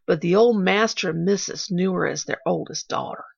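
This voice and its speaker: A woman reading the part of a gentle, sentimental old male gardener with a rustic country dialect, on a dull lo-fi recording. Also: on the low side, vocal fry, breathy edge, no echo, exaggerated voice. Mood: wondering. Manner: rustic dialect, slow and wondering.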